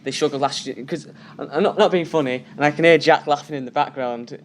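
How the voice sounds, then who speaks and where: A man of middle pitch co-hosting a sports chat rattles off emphatic opinions at a fast clip. Faint noise hums behind him. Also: fairly even intonation. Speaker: co-host.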